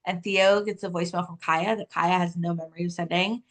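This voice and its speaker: A woman's voice: fairly low pitch, fairly quick. Chatty woman with a casual flow. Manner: animated storytelling, heavy use of "like". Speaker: author guest. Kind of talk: casual interview chat.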